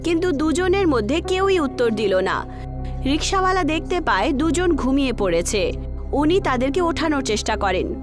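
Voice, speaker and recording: A woman's voice: high voice, very animated, moderate pace, music in the background. A woman reading scripted narration for a horror story.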